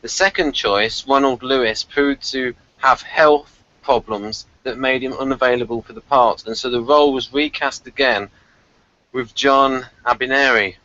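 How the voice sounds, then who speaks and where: A man's voice: medium pitch, steady tone, normal speed, sharp tone, no echo. A man hosting a review podcast, chatting casually. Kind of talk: casual chat. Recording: dull, lo-fi voice recording.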